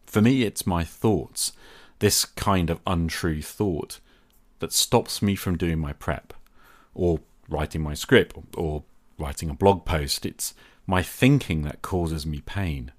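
A man hosting a podcast, speaking reflectively in deliberate short phrases and posing rhetorical questions. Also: low voice. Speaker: podcast host. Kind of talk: reflective solo podcast monologue.

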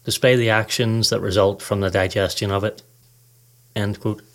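A man hosting a podcast, reading a scripted guided meditation in a calm, measured, instructive way. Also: fairly low pitch.

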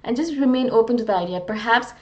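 A woman's voice: a little high, quick pace, highs cut off. A woman from India, talking in a candid, earnest, self-deprecating way in a casual, reflective monologue as a vlogger. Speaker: vlogger on personality types.

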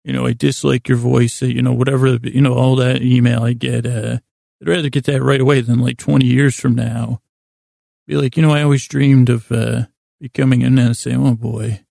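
A man with a heavily creaky, gravelly voice and a mellow, soft-edged tone hosts a bedtime podcast. He meanders through a self-deprecating monologue, pausing and circling back in a lulling way. The recording is close and dry. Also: mid-range voice, little pitch movement, dry recording. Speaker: podcast host.

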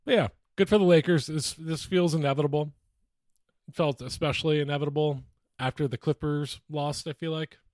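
A man's voice: a little high, fairly even intonation, a little fast, a little mellow, dry recording, clean studio sound. An American man co-hosting a podcast in a casual, conversational sports-chat style. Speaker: podcast co-host.

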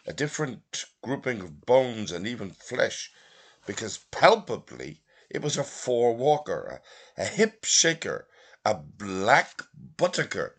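A man reads literary prose aloud expressively, in measured phrases with deliberate pauses. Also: mid-range voice, big pitch swings, a bit bright, slightly rough. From Belfast, Northern Ireland. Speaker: audiobook reader, lecturer.

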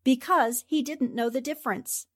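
A woman's voice: high voice, normal speed, a bit bright. A woman playing a shrewd, confident newspaper reporter and amateur sleuth, theatrical and assertive in 1940s radio drama style. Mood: confident, triumphant.

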